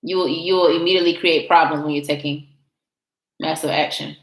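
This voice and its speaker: Woman host, low-ish voice, relaxed call-style chat, echoey room. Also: brisk. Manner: reads passages aloud, asks questions, relaxed. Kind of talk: casual group discussion.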